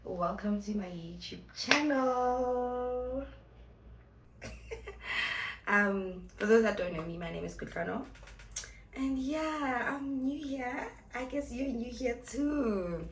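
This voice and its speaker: A woman's voice: on the high side, slow pace, long pauses, roomy sound, slight background noise. A woman in her twenties answering viewer questions in a casual vlog, chatty, blunt and playful, often addressing her viewers as guys. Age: 20s. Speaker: vlogger and club host.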